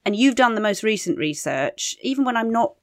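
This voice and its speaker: A woman's voice: fairly high pitch, very animated, brisk, dry recording, clean studio sound. A middle-aged woman co-hosting a podcast, talking things through in an earnest, discursive, conversational way. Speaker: podcast co-host, parent of teenagers. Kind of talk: conversational interviewing.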